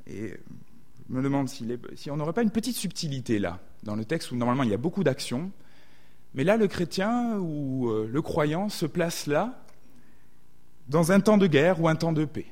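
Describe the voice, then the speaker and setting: A man's voice: medium pitch, a little mellow. A man with a southwestern French accent preaching a sermon, thoughtful and often hesitating to reword his thoughts.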